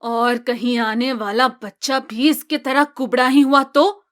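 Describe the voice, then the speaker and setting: A woman playing a cruel, scheming mother-in-law in a moral-tale cartoon, sly and gloating with drawn-out phrasing. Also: sits high, fairly even intonation. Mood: scornful.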